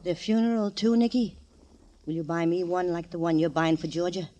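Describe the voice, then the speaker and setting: A woman's voice: fairly low pitch, steady tone, brisk, mellow, lightly acted. A woman plays an older mobster's mother in a vintage radio crime drama. She is domineering and sardonic, scolding in a hard, biting way. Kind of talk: old-time radio crime drama acting. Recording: old radio broadcast.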